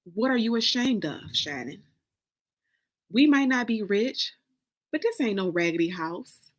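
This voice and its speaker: A woman's voice: mid-range voice, very animated, unhurried. A woman voicing an indignant, scolding mother in a dramatic book reading, with emphatic, folksy, confrontational phrasing.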